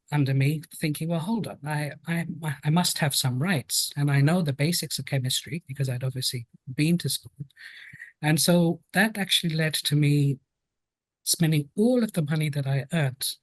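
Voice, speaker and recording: A man in his fifties with a British accent, telling his story in a measured, reflective way as an interview guest. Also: on the high side, dry recording, poor audio. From United Kingdom. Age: 50s. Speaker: guest, health and safety professional.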